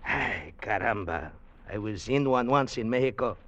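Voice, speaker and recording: An actor playing a genial, philosophical café keeper and old revolutionary in a radio drama, with a Spanish accent and exclamatory, searching English. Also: male voice, on the low side, expressive, moderate pace, a bit bright. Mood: rueful. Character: genial Latin American café keeper, former revolutionary captain. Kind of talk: 1940s-50s radio drama acting. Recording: dull vintage radio recording.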